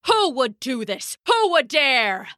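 A woman's voice: fairly high pitch, big pitch swings, takes it slowly, pushed and bright, no room sound, studio quality, full character voice. A woman voicing a hot-tempered male thunder god in a storybook myth, in a roaring, blustering manner. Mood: furious.

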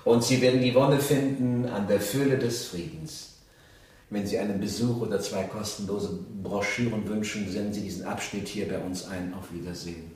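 An actor playing a preachy door-to-door evangelist declaiming Bible verses in a German radio drama. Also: male voice, lowish voice, fairly even intonation, normal speed, a little mellow, a little hiss or hum, natural voice. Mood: preachy, formal. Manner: declaiming, formulaic. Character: preachy male Jehovah's Witness reciting Bible verses. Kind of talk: 1970s German radio crime drama acting. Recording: very echoey, distant from mic.

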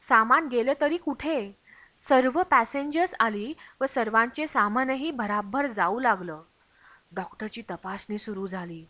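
A woman narrates a written travel memoir for an audiobook. Her voice sits somewhat high and has a clearly bright edge. The sound is dry and close, with very little room around it. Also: dry recording. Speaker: audiobook reader.

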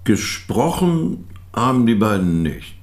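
An actor playing a sceptical interrogator in a radio drama, prompting a witness in a roomy space with faint music behind. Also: male voice, fairly low pitch, big pitch swings, takes it slowly.

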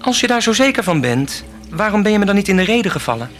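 An actor playing a militant baker who preaches to the poor with insistent, commanding oratory in a radio drama. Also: male voice, high voice, big pitch swings, fast talker, fairly bright tone. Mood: challenging. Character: militant baker, rabble-rousing prophet of the poor. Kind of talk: theatrical radio drama acting.

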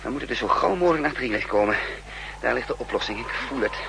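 A decisive male spy leader in a vintage 1960s radio drama. His medium-pitched voice is commanding and practical as he directs and explains. Clear background noise runs through the old recording. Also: animated, quick pace, sharp tone, poor audio. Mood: resolute. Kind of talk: 1960s Dutch radio play acting.

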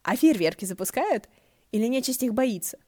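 Woman acting a high, bubbly, chatty young god. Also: animated, brisk, warm tone, no room sound, clean studio sound. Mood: cheerful, curious. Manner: gushing, eager, full of questions. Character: bubbly, chatty, playful young man, a celestial wind deity. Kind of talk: audiobook character voice.

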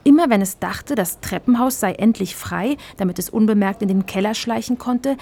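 An actress playing the narrator of a children's audio drama, telling the tale in a composed, measured, scripted way. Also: female voice, medium pitch, a little mellow, natural voice. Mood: composed storytelling.

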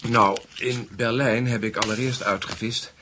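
An actor playing a fawning, coy, cold-blooded assassin in a radio play, with a husky voice. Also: male voice, medium pitch, steady tone, unhurried, noisy background, close to an everyday voice.

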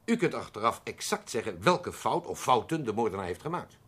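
Radio drama casting: pompous male accountant plotting coolly, husky, very animated intonation, stiffly formal diction. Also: lowish voice, brisk, exaggerated voice. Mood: explaining, self-assured. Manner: pompous, precise, theatrically formal, emphatic. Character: pompous, prickly accountant coolly proposing a perfect murder. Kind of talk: Dutch radio drama acting.

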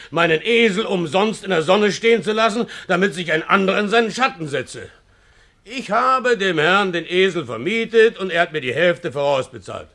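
An actor playing a stubborn, plain-spoken donkey driver in a radio play, pleading and obstinate in an earthy way. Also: male voice, on the high side, sharp tone. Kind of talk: theatrical radio play acting.

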